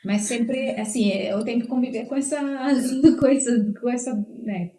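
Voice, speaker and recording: A woman's voice: fairly high pitch, unhurried, mellow. A woman in her forties from northern Italy with an Italian accent, a guest chatting hesitantly and reflectively, with many restarts. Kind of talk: casual interview chat. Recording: roomy echo.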